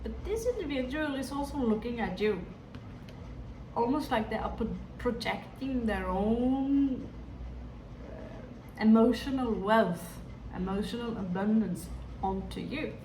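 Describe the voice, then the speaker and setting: Woman tarot reader with a Hindi-tinged accent, unhurried and musing, distant mic in a reverberant room with noise behind. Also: a little high, expressive, mellow. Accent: Hindi-influenced. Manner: musing, hesitant, talks to the viewer directly. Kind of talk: tarot reading to viewers.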